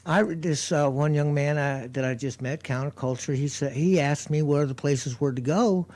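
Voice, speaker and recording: A man who performs on the street, chatting on community radio in a loud voice, rambling with lots of fillers and restarts. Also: on the high side, normal speed. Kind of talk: casual radio chat.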